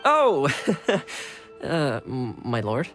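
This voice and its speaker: An actress playing a deferential, flattering, slightly flustered retainer in a video game. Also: female voice, a bass voice, drawn-out words, exaggerated voice. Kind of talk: scripted video game dialogue. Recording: music behind the voice.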